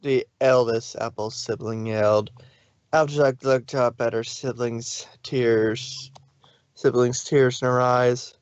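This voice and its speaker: Male narrator, plain steady read, dry, muffled mic. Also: medium pitch, takes it slowly, smooth, dry recording. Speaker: reader. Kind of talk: fanfic reading narration.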